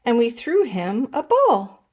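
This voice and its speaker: A woman reading as a little kindergarten girl in a picture book, eager and proud. The pitch is a bit raised and lively, with simple, show-and-tell phrasing. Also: slow, full character voice. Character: eager little kindergarten girls proudly reporting good deeds.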